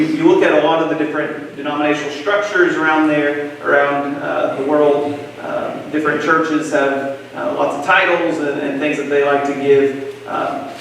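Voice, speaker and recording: Brief: young male preacher, slightly high voice, relaxed and hesitant teaching, long hall echo. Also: slight background noise. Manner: casual, halting, many "uh" fillers. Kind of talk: Bible class teaching. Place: church meeting room. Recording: echoey church hall, far from mic.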